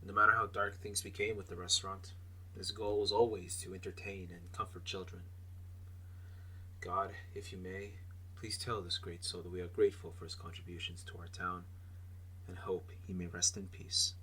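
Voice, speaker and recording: A man acts as a solemn executor addressing mourners in an audio drama. The voice is fairly low and husky, with a formal, oratorical delivery. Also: little pitch movement, moderate pace, bright, forceful tone, exaggerated voice, rough recording. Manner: ceremonious, oratorical.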